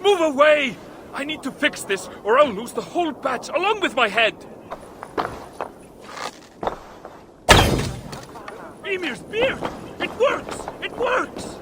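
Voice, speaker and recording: An actor voicing an excitable male dwarf metalworker in a video game, theatrical and exclamatory. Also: very high voice, normal speed, slight background noise, full character voice. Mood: frantic, jubilant. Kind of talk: video game cutscene acting.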